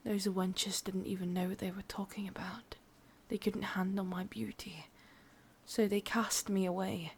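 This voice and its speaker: A woman with an English accent playing a sadistic, possessive, vain witch in a breathy, whispered ASMR roleplay, intimate and menacing. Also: medium pitch, darkish tone, heavily acted. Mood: bitter, vain.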